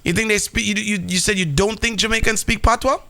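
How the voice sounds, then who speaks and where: A man's voice: high voice, steady tone, fast talker, vocal fry, bright, forceful tone. A man hosting a live call-in radio show, talking with callers and addressing listeners.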